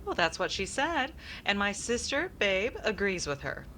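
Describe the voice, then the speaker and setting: A woman's voice: medium pitch, sing-song, normal speed, pushed and bright. A woman playing a dry, teasing, wisecracking regular in an old-time radio comedy. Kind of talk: classic radio sitcom acting. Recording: very noisy recording with clicks.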